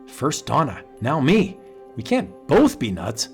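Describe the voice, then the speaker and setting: A man's voice: medium pitch, huge pitch swings, unhurried, darkish tone, faint music behind. A man playing a wisecracking cartoon detective. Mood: jittery. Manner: wisecracking and breezy. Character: brash young male police detective.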